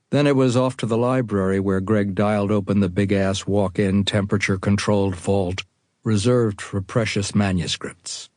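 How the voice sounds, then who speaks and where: An American man reads a first-person account as audiobook narration. His voice sits on the low side. The sound is dry, with almost no room around it. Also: darkish tone, no echo. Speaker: author reading own audiobook.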